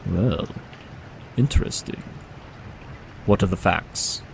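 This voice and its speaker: Man as a deep, gravelly pony detective. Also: exaggerated voice. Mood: intrigued, brisk. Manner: clipped, wry, deliberate. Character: shrewd, dry-witted male pony detective, aloof. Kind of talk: audio drama acting. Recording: noisy, with rain behind.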